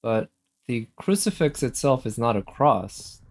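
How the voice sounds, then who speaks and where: A man's voice: medium pitch, very animated, unhurried, vocal fry. A man giving casual live commentary on a video game, thinking aloud and often questioning what is in front of him. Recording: game music behind the voice. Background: loud video game music.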